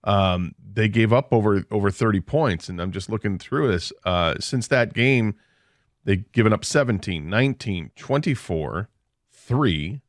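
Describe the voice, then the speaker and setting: A man's voice: lowish voice, expressive, a little slow, no room sound, studio quality. A man co-hosting a sports radio show, breaking down a football game in a casual, analytical way. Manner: analytical, confident picks. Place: radio studio.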